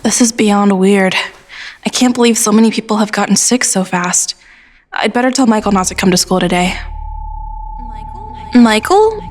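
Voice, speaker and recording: A woman playing a wry, expressive teenage schoolgirl in an audio drama. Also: medium pitch, moderate pace, pauses often, soft background music, natural voice. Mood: concerned. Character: teenage schoolgirl, exasperated, wry, quick to react.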